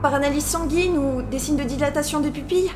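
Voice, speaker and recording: Female, actress as questioning sci-fi crewmate in animated dub; somewhat high voice, logical, tense. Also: fast talker, noisy background, lightly acted. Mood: analytical, tense. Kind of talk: dramatic French dub of animated short film.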